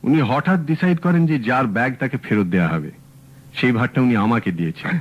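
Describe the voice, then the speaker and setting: An actor playing a sharp young male private detective in a radio drama, asking brisk, probing questions. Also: mid-range voice, sing-song. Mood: calm, probing. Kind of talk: 1970s radio drama acting. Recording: dull old radio broadcast.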